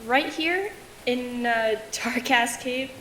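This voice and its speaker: A woman's voice: fairly high pitch, takes it slowly, bright, forceful tone. A young woman acting as a nervous, timid college student in an audio drama, anxious and hesitant. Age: young adult. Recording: echoey room, faint noise.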